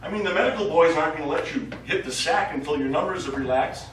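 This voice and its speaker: An actor playing a nonchalant, wry astronaut in a stage play. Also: male voice, on the high side, quick pace, fairly bright tone, lightly acted. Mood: wry, testy. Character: nonchalant, wry male astronaut tending the ship. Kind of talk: stage acting. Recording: echoey distant stage recording, faint noise.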